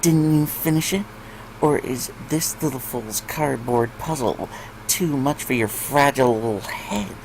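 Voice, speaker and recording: A man's voice: medium pitch, measured pace, background noise, voice pushed up, close to an everyday voice. Man doing a mocking, bratty big-sister filly. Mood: mocking, sarcastic. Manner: sneering, sarcastic, deadpan teasing.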